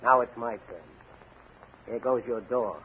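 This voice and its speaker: A man's voice: mid-range voice, steady tone, measured pace, lightly acted. Brash masked radio hero, played by a man. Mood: taunting. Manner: theatrical, brash, declamatory. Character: bold young masked male crime-fighting hero, swaggering and taunting. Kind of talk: 1940s radio acting. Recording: scratchy, tinny 1940s radio recording.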